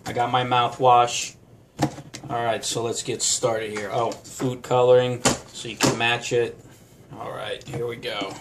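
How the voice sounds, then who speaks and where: A man's voice: medium pitch, little pitch movement, a little slow, noisy background. A man giving a casual how-to demonstration at a home bar counter, talking unhurriedly with many fillers. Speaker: vlogger.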